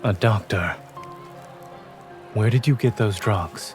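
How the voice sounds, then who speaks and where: An actor playing a calm, principled male doctor in a video game, with a composed, measured and deadpan delivery. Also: lowish voice, soft background music. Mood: stern, controlled.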